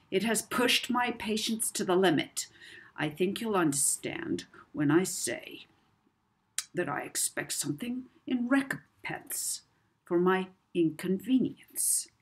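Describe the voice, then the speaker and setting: Woman as male blackmailer, children's audiobook: very breathy, unhurried, lazy menace, soft-spoken. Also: on the low side, pitched above the performer's own voice. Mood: weary, veiled menace. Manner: soft-spoken, lazy drawl with deliberate pauses. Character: sinister, manipulative male blackmailer, smooth and menacing.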